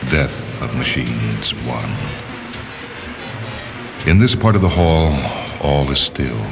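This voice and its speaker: An exceptionally deep male voice narrates literary fiction. He phrases each passage in a measured, deliberate way, leaving dramatic pauses. Faint music sits behind the reading. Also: expressive, creaky. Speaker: story reader and narrator. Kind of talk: scripted narration of a literary short story.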